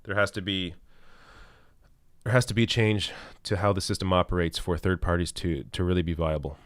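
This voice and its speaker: Low-voiced man losing his voice. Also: steady tone, fairly quick, dry recording, studio quality. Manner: thinking aloud with many ums and restarts. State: losing his voice, clearing his throat. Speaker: live stream host answering super chats. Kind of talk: casual live stream Q&A.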